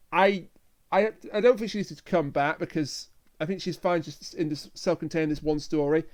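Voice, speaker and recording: A man co-hosting a casual review discussion, talking earnestly and repeating himself for emphasis. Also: a little high. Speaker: podcast co-host.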